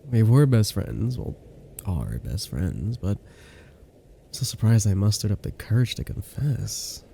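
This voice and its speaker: A man performs an affectionate, teasing boyfriend in an intimate ASMR roleplay. His low voice has a gravelly creak and a soft, unhurried, playful delivery. Faint noise sits behind him. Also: very animated, heavily acted. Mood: fond, reflective.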